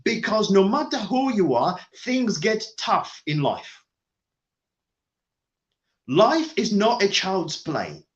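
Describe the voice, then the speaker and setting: A man delivers a motivational talk in a high, animated voice that swings up and down as he drives each point home. He leans on repetition and says key lines twice. The recording sounds dull and lo-fi. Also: takes it slowly, long pauses. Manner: emphatic, repeats key phrases. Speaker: motivational speaker.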